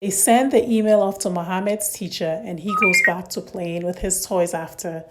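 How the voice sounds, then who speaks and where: A woman hosting and narrating a read-aloud story for young children in simple, deliberate phrasing. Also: fairly low pitch, fairly even intonation, heavy background noise.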